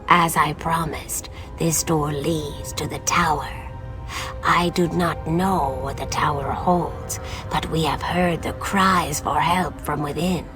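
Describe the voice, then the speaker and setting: Solemn reptilian female, low-pitched, hushed breathy delivery, earnest, fantasy game, music underneath.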